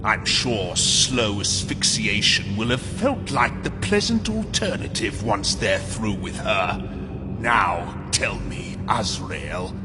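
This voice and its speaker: A huge avian demon from an audio drama, sly and cruel. The lines are slow and drawn out, with big theatrical swings in pitch as it gloats over loud music. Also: male voice, expressive. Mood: gloating, taunting. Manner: taunting, theatrical, drawn-out delivery. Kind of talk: scripted audio drama acting.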